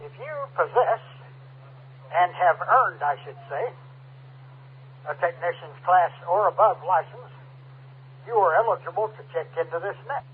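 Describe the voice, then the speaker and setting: High-voiced man on tinny radio. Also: measured pace, long pauses. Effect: two-way radio. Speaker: amateur radio net controller. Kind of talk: scripted net preamble with ad-libbed asides. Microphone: radio transceiver microphone. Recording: tinny narrowband radio link, hum and static.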